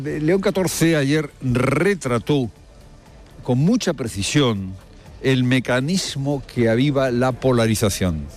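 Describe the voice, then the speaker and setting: A male news presenter delivers live commentary in a medium-pitched voice. He leans on rhetorical questions and repeats his key phrases for emphasis. Faint music plays underneath. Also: a little mellow. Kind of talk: live spoken commentary with field reporting.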